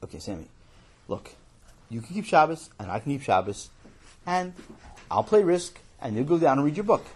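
A man plays an exasperated boy in a children's story, reasoning with his brother. The voice is husky and fairly quiet, hesitant and coaxing, with pitch that jumps around. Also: very animated, normal speed, pauses often.

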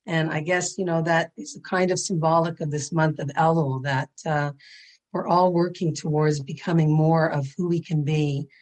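A woman with a raspy voice giving a gentle, earnest spiritual class over a video call. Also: low-pitched. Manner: earnest and gentle, with frequent ums. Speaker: Torah educator and speaker.

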